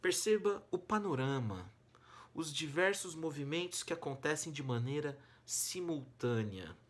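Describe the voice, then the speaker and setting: A man's voice: fairly high pitch, animated, unhurried. Brazilian man teaching with emphatic, colloquial delivery. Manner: emphatic, colloquial, rhetorical questions, swinging between stressed and hushed phrases. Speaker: humanities teacher and exam-prep host. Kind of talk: informal educational monologue to students.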